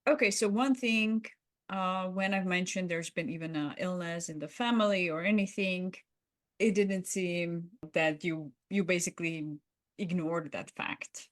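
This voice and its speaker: A woman's voice: lowish voice, measured pace. A woman co-hosting a podcast, talking in a conversational, reflective way as she explains her observations. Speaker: podcast co-host. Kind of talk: conversational debrief of a roleplay.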